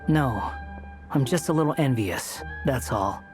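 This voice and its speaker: A man's voice: medium pitch, lively intonation, unhurried, close to an everyday voice. An actor playing an aloof, sardonic young man in a game, making dry, cutting remarks. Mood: musing. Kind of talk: game cutscene voice acting. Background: background music.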